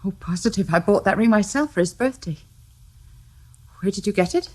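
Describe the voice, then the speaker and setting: An actress playing a quiet, anxious woman roused from bed in a radio drama. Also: mid-range voice, expressive, fairly quick, lightly acted.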